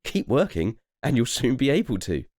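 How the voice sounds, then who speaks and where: A man voicing a friendly, calm patchwork elephant who encourages others, in a children's storybook. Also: mid-range voice, moderate pace, no echo, clean studio sound, voice pushed up. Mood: encouraging.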